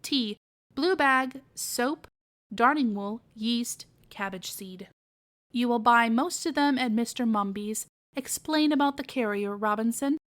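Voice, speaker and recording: A woman's voice: on the high side, a little slow, fairly bright tone. A woman voicing a fussy, bossy pig aunt who grumbles and gives orders in a storybook. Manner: bossy, snappy, listing errands.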